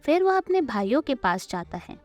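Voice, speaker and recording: A woman with a slightly high voice tells a scripted children's story. She narrates as a storyteller, with soft music playing behind her. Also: very animated, moderate pace.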